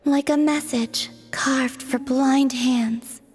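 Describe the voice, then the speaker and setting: A woman voicing a solemn young moon goddess in a video game, soft and breathy, with a poetic, detached delivery. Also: sits high, steady tone, exaggerated voice. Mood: sorrowful, resigned. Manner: slow, poetic, measured. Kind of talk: English video game voice acting. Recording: game music behind the voice. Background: background music.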